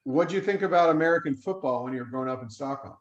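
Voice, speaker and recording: Casual reporter, higher voice, roomy echo. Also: male voice, quick pace, muffled recording. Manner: casual, hesitant with fillers. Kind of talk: press conference questions.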